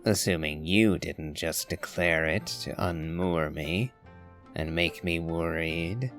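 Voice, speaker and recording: Haughty wry wanderer, low voice, unhurried, dry sarcasm, anime game, music behind. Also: male voice, a bit bright, full character voice. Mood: wary, dry. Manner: dry, sardonic, formal wording. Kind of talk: anime-style game voice acting.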